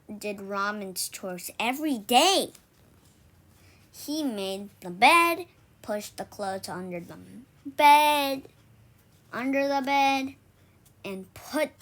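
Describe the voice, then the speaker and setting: A woman reads a children's story aloud in a fairly high voice. Her pace is very slow and halting. She often trips on a word and says it again before moving on. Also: animated, a bit bright. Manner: halting delivery, stumbles and repeats words. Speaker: storybook reader for an online read-aloud.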